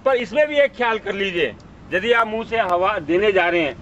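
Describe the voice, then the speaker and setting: A man with a notably high voice instructs a practical first aid class. He goes through the technique step by step and checks that it is understood. The outdoor recording is dull and muffled. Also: expressive, a little fast, a bit bright, slight background noise. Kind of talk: hands-on demonstration teaching.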